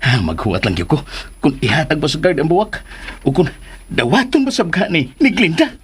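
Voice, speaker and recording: An actor with a Filipino accent playing a comic, anxious, lovestruck suitor talking to himself with exaggerated delivery in a radio drama. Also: male voice, on the high side, sing-song, brisk, slight background noise. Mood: nervous, pleading.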